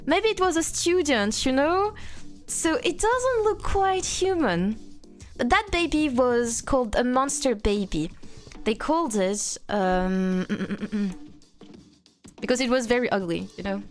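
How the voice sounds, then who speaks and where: A young woman from France speaks in English with a clear French accent, her voice sitting high and swooping around lively. She chats casually with a live-stream audience, teasing and reading messages aloud. Soft music plays faintly underneath. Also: unhurried. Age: 20s. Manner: playful, reads chat aloud, frequent laughter.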